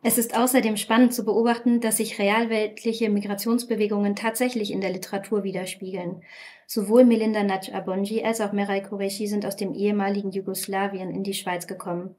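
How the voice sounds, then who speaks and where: A woman presents a literature podcast from a script. Her pitch sits in a middle range, and her delivery is measured and steady. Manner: measured, reads quoted passages aloud.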